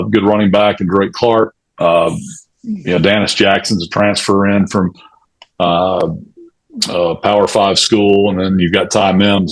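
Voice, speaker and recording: A man on a sports talk show, giving unscripted analysis in a halting, conversational way. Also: fairly low pitch, rough recording. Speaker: sports radio show panelist.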